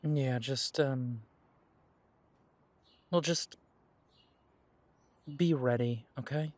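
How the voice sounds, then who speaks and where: A young man playing a restless grown son coming home, halting at first and then pressing. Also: mid-range voice, no echo. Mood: uneasy. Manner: hesitant, then pressing and insistent.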